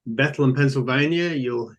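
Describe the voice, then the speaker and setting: Older aussie guy pitching his company, lots of ums, restarts, plain mid voice. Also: moderate pace, a bit bright. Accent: Australian. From Australia. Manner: explanatory, loose and unscripted with many ums and restarts. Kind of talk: business pitch presentation.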